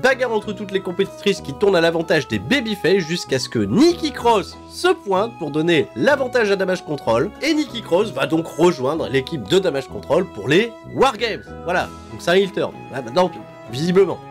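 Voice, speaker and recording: A man's voice: high-pitched, very animated, moderate pace, hardly any pauses, faint music behind. A man hosting a wrestling recap, chatty and opinionated with sarcastic asides. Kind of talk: casual wrestling show recap.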